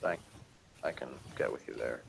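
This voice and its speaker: A man's voice: a bass voice, normal speed, gravelly, husky. A man co-reviewing a TV series in casual, unscripted conversation.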